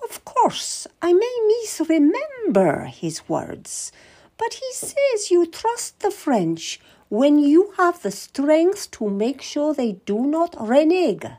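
Lady reading as a sly queen acting all meek and sweet, high and sing-songy, slow. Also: wildly swooping pitch, dark tone, exaggerated voice. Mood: sweetly guileful. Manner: lilting, sweetly deferential, slow and measured. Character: regal queen, shrewd, feigning meekness. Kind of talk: audiobook character voice.

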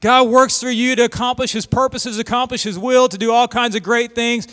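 A middle-aged man from Oklahoma preaching a sermon as a visiting missionary pastor, telling stories in an animated way. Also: extremely high pitch, a little fast, clear, resonant tone. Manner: animated storytelling with voiced asides. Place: church sanctuary. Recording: long hall reverb.

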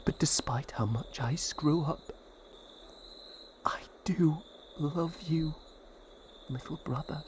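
Man acting slow-spoken, haunted older brother. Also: fairly high pitch. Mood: hushed, remorseful. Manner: hesitant, halting, earnest. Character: gentle, guilt-ridden older brother, fantasy adventurer. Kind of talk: fantasy audio drama acting. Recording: insect ambience with steady background noise.

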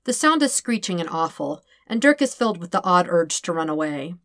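Woman narrating a story, very lively pitch. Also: brisk, no echo, clean studio sound. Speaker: podfic reader. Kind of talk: expressive narration of fan fiction.

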